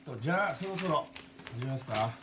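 A man plays an easygoing radio producer in a casual meeting. His pitch moves in a lively way, and there is clear noise in the recording. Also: fairly quick, natural voice.

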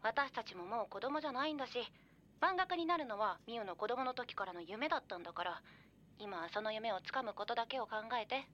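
Dull phone-filtered, high-voiced worried young woman. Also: expressive, brisk, a little hiss or hum. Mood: warm, encouraging. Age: young adult. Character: young woman, caring, worried, hesitant best friend. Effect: telephone voice filter. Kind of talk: Japanese horror radio drama acting. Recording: band-limited, phone-call sound.

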